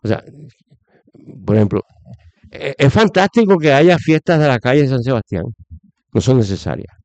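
A Puerto Rican man with a Puerto Rican accent, giving forceful opinions as a guest, with lots of fillers and restarts. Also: medium pitch, big pitch swings, moderate pace, no room sound. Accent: Puerto Rican Spanish. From Puerto Rico. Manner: emphatic, many fillers and restarts. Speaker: guest commentator. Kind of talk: opinionated conversational commentary.

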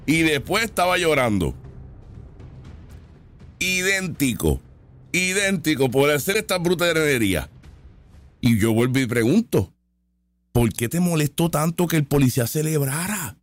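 A man rants sarcastically as he comments live on clips. His pitch sits fairly high and jumps around a lot. The sound is dry and close, with no room around it. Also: very animated, unhurried, long pauses, dry recording, faint music behind. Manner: sarcastic, heated and argumentative. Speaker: online commentary host.

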